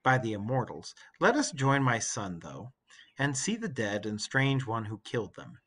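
A man playing a guarded, doubting queen in a story read aloud, subdued and measured. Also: medium pitch, big pitch swings, a bit bright, slightly rough, pitched below the performer's own voice. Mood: doubtful, subdued. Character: guarded, doubting middle-aged queen and mother.

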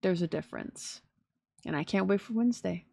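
A woman's voice: fairly low pitch, fairly quick, gravelly, a little mellow, dry recording, clean studio sound. A woman in her twenties giving casual, gushing, slangy and playful reaction commentary as a streamer. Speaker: streamer reacting to game trailers. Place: small indoor streaming room at home. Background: faint trailer music.

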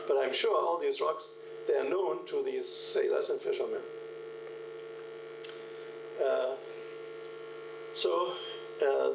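A man's voice: mid-range voice, creaky. Older man lecturing in an echoey hall. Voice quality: halting, many "uh" fillers and restarts. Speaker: keynote speaker. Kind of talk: conference lecture with anecdotes. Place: large lecture hall at a podium. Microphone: podium microphone, recorded from the hall. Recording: echoey hall, distant, mains hum.

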